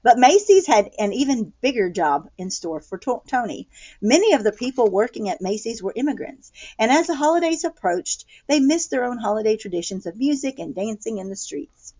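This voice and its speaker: A woman with an American accent reading a picture book aloud to children, pausing to explain things in simple words. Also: a little high, animated, warm tone, slightly rough, highs cut off.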